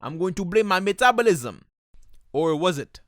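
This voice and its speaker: A man's voice: high-pitched, sing-song, moderate pace, fairly bright tone, no echo, studio quality. A young man with a Haitian accent, telling a comedic story in a playful, theatrical way. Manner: playful, theatrical, switches into voices. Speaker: animated storytime narrator.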